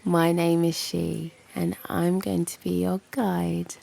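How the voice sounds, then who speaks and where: Female British meditation guide: mellow, breathy, low-pitched, unhurried and soothing. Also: flat delivery. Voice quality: breathy, often near a whisper. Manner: soothing, unhurried, long pauses between short phrases. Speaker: meditation guide and channel host. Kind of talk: slow guided yoga nidra meditation. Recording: faint background noise with birdsong. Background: birdsong and soft nature ambience.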